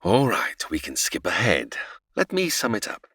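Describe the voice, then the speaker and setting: An actor playing a patient, explanatory narrator in a comedy audio drama, telling a classic story. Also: male voice, deep voice, wildly swooping pitch, normal speed, bright, forceful tone, breathy edge, no room sound, studio quality, heavily acted. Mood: explaining.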